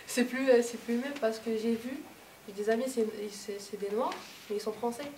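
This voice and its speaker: A woman's voice: fairly high pitch, normal speed, darkish tone. A teenage girl from Algeria in a casual classroom debate, speaking in short bursts, heard in an echoey room from a distance with background noise. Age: teens. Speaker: student in a group discussion.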